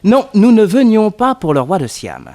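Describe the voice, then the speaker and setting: A genial young man recounting detective adventures. His lightly high voice swings widely in pitch, with wry flourishes typical of 1960s radio narration. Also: sing-song, moderate pace, darkish tone. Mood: wry, lively.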